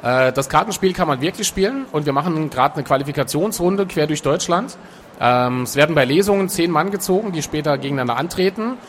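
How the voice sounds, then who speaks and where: A man with a slightly raised voice talks loosely about his work, filling his pauses with little hesitations. He sounds relaxed and conversational. A faint background hiss sits under the voice. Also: a little high. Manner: relaxed, chatty, with frequent filler sounds. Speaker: author and guest. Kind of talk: casual interview talk.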